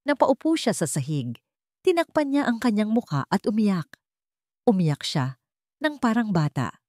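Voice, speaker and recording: A woman's voice: medium pitch, sing-song, moderate pace, darkish tone, no echo, clean studio sound. A woman storyteller reading a scripted romantic drama as narration.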